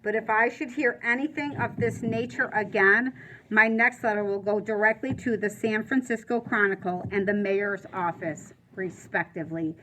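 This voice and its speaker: A woman's voice: medium pitch, steady tone, moderate pace, a bit bright, a little hiss or hum, pitched below the performer's own voice, close to an everyday voice, poor audio. A woman voicing a haughty, indignant parent's formal letter of complaint from a novel, pompous and emphatic. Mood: haughty indignation.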